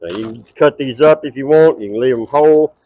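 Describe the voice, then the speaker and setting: A man's voice: medium pitch, brisk, darkish tone, dull audio. A middle-aged man with a Texas twang, hosting a cooking show at an outdoor backyard table and chatting straight to his viewers.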